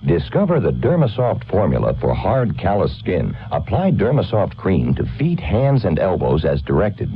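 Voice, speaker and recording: A male commercial announcer with a deep voice sells in a scripted radio spot. His intonation swings widely, and the recording is dull and lo-fi. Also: wildly swooping pitch, normal speed, few pauses.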